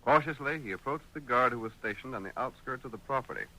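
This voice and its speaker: A male narrator of an old-time radio western with an American accent. He tells the tale dramatically in a medium-pitched, very bright and forceful voice. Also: fast talker, rough recording.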